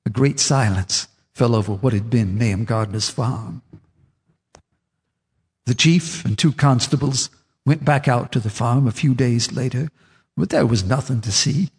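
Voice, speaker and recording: A husky, haunted old farmer. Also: male voice, mid-range voice, unhurried, a little mellow, long reverb tail. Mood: grim. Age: older. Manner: confiding, eerie monologue. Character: old male farmer haunted and half-mad. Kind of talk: radio drama acting.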